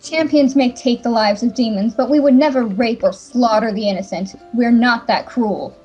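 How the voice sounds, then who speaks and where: A woman's voice: on the high side, moderate pace, darkish tone, highs cut off, soft background music, natural voice. A woman voicing a righteous, scornful human champion in an amateur game fandub. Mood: defiant.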